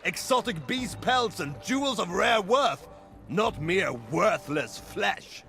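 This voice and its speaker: Fantasy game pirate captain: menacing, contemptuous, swaggering, gravelly and very animated. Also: male voice, high voice, slow pace, full character voice. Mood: contemptuous, grumbling. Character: boastful, menacing male pirate slaver captain. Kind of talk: fantasy video game voice acting. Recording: game music behind.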